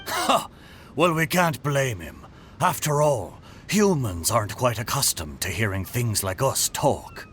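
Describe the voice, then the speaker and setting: A man's voice: medium pitch, big pitch swings, measured pace, a bit bright, slight background noise. A man voicing an old seafaring boat in a video game, jovial and folksy like a sailor. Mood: excited, then jovial, teasing. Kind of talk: video game character dialogue.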